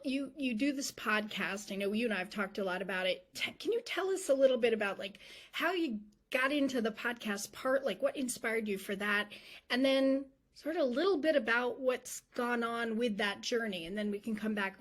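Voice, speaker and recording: Chatty woman interviewer, slightly high voice. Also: animated, normal speed. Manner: chatty, rambling, affirming. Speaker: interviewer, organization host. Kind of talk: casual interview chat.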